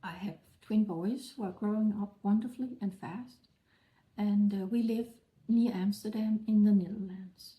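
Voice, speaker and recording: A woman's voice: mid-range voice, normal speed, mellow. A woman from Denmark, a fantasy writer talking in a friendly, earnest way in her living room. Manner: friendly, earnest, explaining plainly. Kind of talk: scripted conversation. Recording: echoey room.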